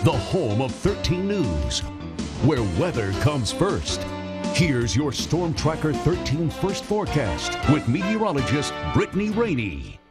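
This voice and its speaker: A man's voice: on the high side, huge pitch swings, measured pace. A man announcing a television news station's opening. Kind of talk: promotional station ident announcement. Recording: loud music bed.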